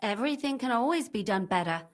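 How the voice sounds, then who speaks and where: A woman's voice: fairly high pitch, lively intonation, fast, natural voice. An actress playing a blunt, cynical, tough medieval tavern woman in a video game. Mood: sincere, practical. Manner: blunt, forthright. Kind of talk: video game voice acting, dramatic dialogue.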